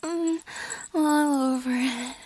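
A young adult woman performing an intimate audio roleplay, whispering softly with breathy sighs and a pleading, submissive delivery. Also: high voice, steady tone, slow pace, no echo.

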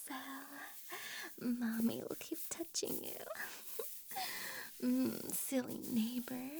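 A woman's voice: high-pitched, gravelly, full character voice, low-quality recording. A woman playing a tipsy, doting older neighbor. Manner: soft flirty coaxing, slipping into whispers. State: a little drunk. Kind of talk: audio roleplay.

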